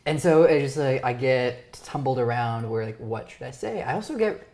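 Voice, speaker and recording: A woman whose first language is Romanian, hosting a casual chat; she talks fast and stumbles over her words. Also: a bass voice, lively intonation, dark tone. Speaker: podcast host. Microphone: lapel.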